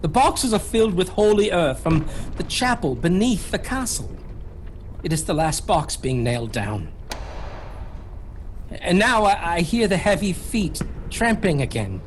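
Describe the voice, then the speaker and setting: An actor playing a terrified young man trapped in a castle, haltingly writing his journal in a horror radio drama. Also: high-pitched, sing-song. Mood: fearful. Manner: halting, repeating himself in dread. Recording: clear background noise and ambience.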